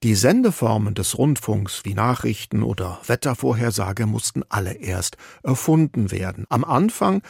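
A man's voice: fairly low pitch, lively intonation, normal speed, creaky, few pauses, a little mellow, slightly rough, no echo, studio quality. A man presenting a radio history program with a wry, conversational scripted commentary.

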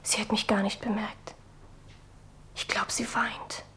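A woman's voice: mid-range voice, level tone, measured pace, fairly bright tone, whispered, close to an everyday voice. An actress playing an anxious, stubborn schoolgirl in a German radio play. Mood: hushed, worried. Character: anxious, stubborn schoolgirl daughter, watchful and hushed.

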